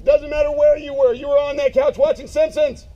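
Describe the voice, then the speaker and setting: A man speaking loudly and emphatically in a casual way, giving a eulogy at a memorial gathering. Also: very high-pitched, little pitch movement, fairly quick. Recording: dull lo-fi sound, faint noise.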